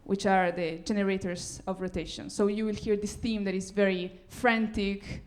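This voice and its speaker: Female composer, mid-range voice, giving a live lecture-recital talk in halting, piecemeal phrases. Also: medium pitch, little pitch movement. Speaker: composer presenting own work.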